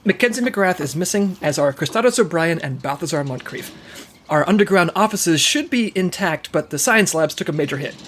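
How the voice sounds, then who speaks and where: Actor as put-upon male agent, wry, very animated, faint noise behind. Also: fairly high pitch, brisk.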